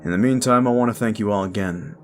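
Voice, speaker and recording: A man's voice: on the low side, quick pace, soft background music. A man who makes audio roleplays, talking in a casual, upbeat and thankful way in an outro to his listeners.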